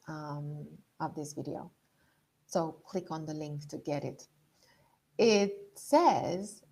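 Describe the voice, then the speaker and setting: Slow-talking Romanian-born woman coach. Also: fairly low pitch. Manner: conversational, thinking aloud with fillers. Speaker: leadership coach. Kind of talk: online masterclass presentation. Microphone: video call.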